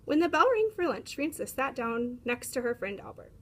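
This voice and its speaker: A woman reading a children's picture book aloud in an expressive storytelling style. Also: high voice, normal speed. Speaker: read-aloud storyteller, teacher.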